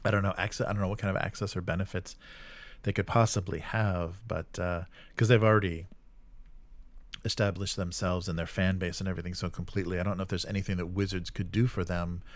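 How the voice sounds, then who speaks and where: A man's voice: low voice, level tone, brisk. A man hosting a casual live stream, rambling and thinking aloud as he reacts to chat comments.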